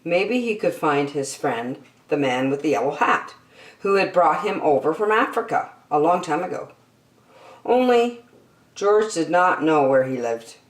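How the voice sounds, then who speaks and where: A woman hosting story time and reading a children's picture book aloud in a deliberate, expressive way for young listeners. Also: sits low. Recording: echoey room, distant microphone.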